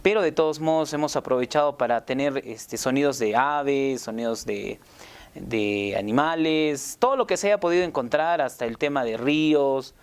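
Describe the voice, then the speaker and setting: A man's voice: medium pitch, moderate pace, clean tone. A man casually recounting production work, informal and circling back over his words. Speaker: radio drama sound production team member. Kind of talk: casual recollection to camera.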